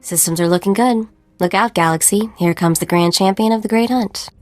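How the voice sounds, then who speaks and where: An actress playing a young, savvy, eager bounty hunter's sidekick, casual and spirited, in a video game. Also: female voice, medium pitch, brisk, darkish tone, soft background music, natural voice. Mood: keen, cocky. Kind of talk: video game cutscene acting.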